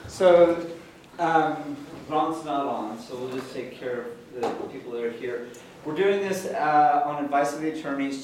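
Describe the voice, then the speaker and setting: A man leading a swearing-in at a board meeting, casual and halting with fillers. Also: medium pitch, a little mellow, slight background noise. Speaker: official administering the oath. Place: meeting room. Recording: echoey hall, distant mic.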